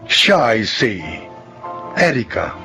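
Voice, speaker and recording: A man narrating and announcing for a radio drama in a solemn, measured, dramatic style. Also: mid-range voice, big pitch swings, gravelly, over loud music.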